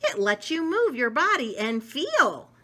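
Bright, animated woman reading to kids. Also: sits high, unhurried. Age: middle-aged. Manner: enthusiastic, expressive, talks directly to young listeners. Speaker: read-aloud storyteller. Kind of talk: animated picture-book read-aloud with asides to children. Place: small domestic room indoors.

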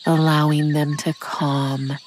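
A woman with a very low voice leads a guided meditation at a very slow pace. Her delivery is soft, breathy and soothing, often close to a whisper. Forest ambience with crickets and insects runs faintly behind her. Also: level tone, a little hiss or hum. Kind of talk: guided meditation narration, scripted.

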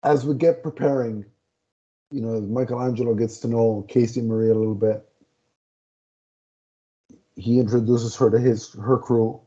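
A man's voice: mid-range voice, fairly even intonation, moderate pace, long pauses, warm tone. A man co-hosting a casual comic review podcast from a home room, talking through a headset on a video call and often searching for words. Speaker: podcast co-host. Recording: dull lo-fi video call audio.

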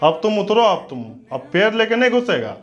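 A man's voice: high-pitched, very animated, fairly quick, highs cut off. A man delivers a combative political rant to camera on a lapel mic, scornful, abusive and confrontational. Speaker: news commentator.

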